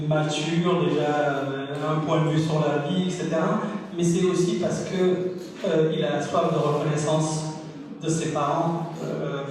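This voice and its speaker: A man's voice: on the high side, a little mellow, distant mic, a little hiss or hum. A man moderating a literary panel at a book fair, speaking hesitantly with many fillers. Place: large hall at a book fair. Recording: echoey hall, mic from afar.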